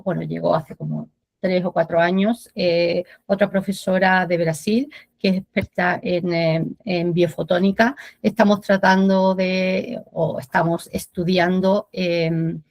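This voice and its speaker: A woman's voice: fairly low pitch, no room sound. A woman from Spain in her forties, a medical physicist answering an audience question on a video call, with a Spanish accent slightly tinged Chilean and many hesitant fillers. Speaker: medical physicist, guest speaker.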